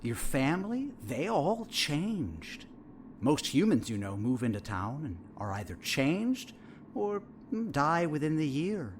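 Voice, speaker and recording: A man playing a sinister, smug therapist in a horror audio drama, measured and theatrical, with a falsely soothing, condescending manner. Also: a little high, very animated, a little hiss or hum.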